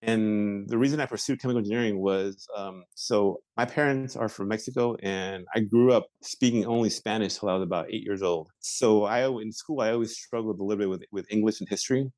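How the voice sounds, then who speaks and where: A man in his forties answers interview questions about his work. His voice sits a little low and the recording is dry and close. He talks in a measured, thoughtful way and often pauses on fillers and restarts. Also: darkish tone, no echo. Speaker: patent examiner, interview guest.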